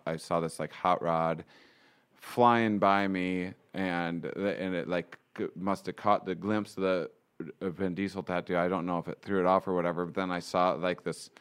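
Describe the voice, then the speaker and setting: A man playing a raspy bride-to-be in a prank call, rambling and flustered, using his own low voice. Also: a bit bright. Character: bride-to-be, a woman in a man's voice.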